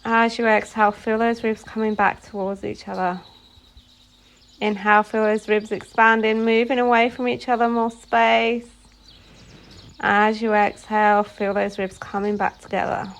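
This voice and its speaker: A woman leading a guided meditation as a yoga nidra instructor, giving soothing instructions phrase by phrase with pauses. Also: medium pitch, little pitch movement, gravelly.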